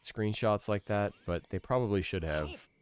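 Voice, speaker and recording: A man in his thirties giving casual, analytical video game commentary, thinking out loud. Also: fairly low pitch, normal speed. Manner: musing, analytical, thinking aloud. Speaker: podcast host and game streamer. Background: video game music.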